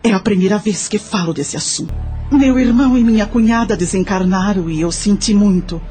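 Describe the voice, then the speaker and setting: A woman's voice: mid-range voice, brisk, dark tone, close to an everyday voice. Woman acting a reflective widowed mother, music behind. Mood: confessional. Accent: Brazilian. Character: older widowed mother confessing her life story, sorrowful, reflective. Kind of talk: audio drama first-person narration.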